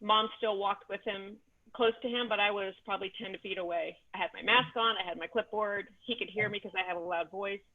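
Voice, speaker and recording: A woman's voice: mid-range voice, fairly even intonation, brisk. A woman from Texas shares a teaching story in a chatty, filler-heavy way. Her voice comes through a tinny, phone-like video call line. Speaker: mobility instructor. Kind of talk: casual anecdote on video call.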